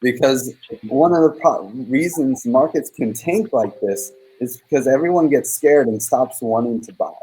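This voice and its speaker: A man's voice: medium pitch, moderate pace, few pauses, warm tone, poor audio. A man hosting a casual livestream over a video call, talking loosely with lots of fillers. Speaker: host.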